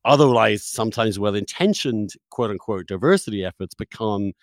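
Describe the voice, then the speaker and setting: A man's voice: fairly low pitch, expressive, moderate pace, no echo, clean studio sound. A man hosting an intellectual interview, arguing his points emphatically in conversation. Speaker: interview host.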